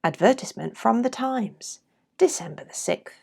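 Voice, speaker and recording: A woman reading old letters and adverts aloud in a deliberate, measured way, as a podcast host. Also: a little high, animated, creaky, darkish tone.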